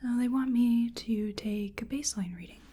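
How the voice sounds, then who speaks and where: A woman speaking softly in a breathy near-whisper, gently musing and hesitating through an unhurried ASMR medical exam roleplay. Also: a little high. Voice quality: soft, breathy, often whispering. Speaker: ASMR performer playing a researcher examining a creature.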